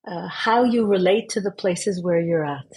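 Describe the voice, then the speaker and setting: Woman guest, bit husky, thinks out loud, repeats words a lot. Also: on the low side, normal speed. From born in Israel, raised in Switzerland. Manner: thoughtful, with frequent repeated words. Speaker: podcast guest. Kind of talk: casual interview.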